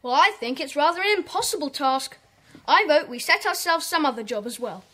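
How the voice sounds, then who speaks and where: A woman's voice: high voice, expressive, a little fast, bright, forceful tone. A woman playing a sensible, bossy schoolboy club leader in a children's audio drama, decisive and orderly.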